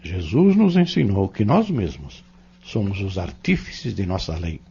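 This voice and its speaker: A Brazilian man acting in an audio novel as a patient, earnest Spiritist teacher, explaining doctrine in measured, emphatic phrases. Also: low voice, very animated, creaky, dark tone, a little hiss or hum, exaggerated voice. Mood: earnest, instructive. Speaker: Spiritist instructor in an audio drama. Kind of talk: didactic audio-novel dialogue. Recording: dull, lo-fi audio.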